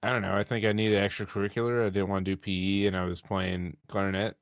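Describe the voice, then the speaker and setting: A man's voice: deep voice, level tone, fast, no room sound, studio quality. A young man co-hosting a podcast, cracking puns and goofing around in casual banter. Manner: goofy, punning. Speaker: podcast co-host.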